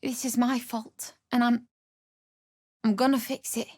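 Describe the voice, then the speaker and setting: A woman's voice: fairly high pitch, little pitch movement, unhurried, dark tone, no echo, clean studio sound. A woman playing a distraught young pregnant widow in a video game, emotional and pleading, earnest and defiant in her grief. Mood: grieving, subdued.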